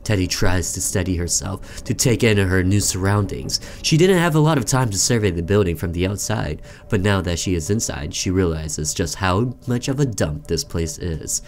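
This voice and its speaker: A man's voice: deep voice, expressive, moderate pace, few pauses. A man hosting a gaming video, reading story text aloud like a storyteller and adding casual reactions.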